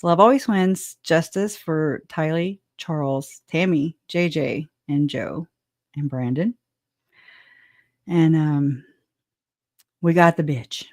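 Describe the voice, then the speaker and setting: A woman's voice: deep voice, no room sound, clean studio sound. A woman hosting a livestream, chatting casually and rambling, with frequent restarts and laughter. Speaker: livestream host.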